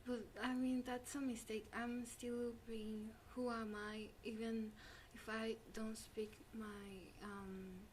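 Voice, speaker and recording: Young woman, Spanish native, hesitant, slow-paced, slightly high voice, faint background hiss. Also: flat delivery, poor audio. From Spanish-speaking country. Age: young adult. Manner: hesitant, many fillers and restarts. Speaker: student guest. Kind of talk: interview answers.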